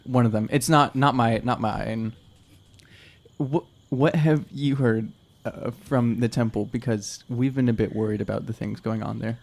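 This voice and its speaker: A man's voice: medium pitch, steady tone, gravelly. Hesitant man playing a tabletop game. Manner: halting, hesitant. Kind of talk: casual improvised role-play.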